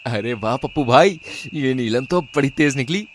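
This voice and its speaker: Man playing a sly, animated young villain groom. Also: medium pitch, normal speed, noisy background, natural voice. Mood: smarmy, scheming. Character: sly, lecherous young male groom, two-faced villain.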